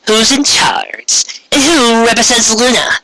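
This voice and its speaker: A man voicing a brave but anxious young female unicorn apprentice, earnest and halting, in a fan fiction audiobook. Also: extremely high pitch, bright, forceful tone, dry recording, voice pushed up, full character voice, poor audio. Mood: nervous defiance.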